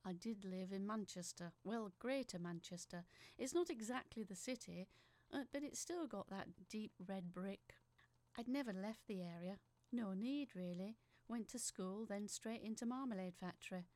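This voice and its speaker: A woman's voice: mid-range voice, darkish tone, dry recording, natural voice. A woman playing a chatty, apologetic working-class factory worker, telling her story as a rambling, wistful letter in an audio drama. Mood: chatty, self-deprecating. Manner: rambling, self-deprecating, wistful.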